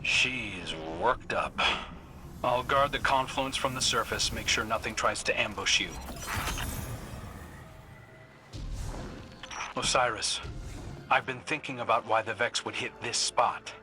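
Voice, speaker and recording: A man's voice: mid-range voice, moderate pace, over music, close to an everyday voice. A man playing a wry, loyal scout in a video game, talking in a casual, dry, earnest way. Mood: concerned. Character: wry male scout, weary fallen prince, loyal and alert. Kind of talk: video game mission dialogue.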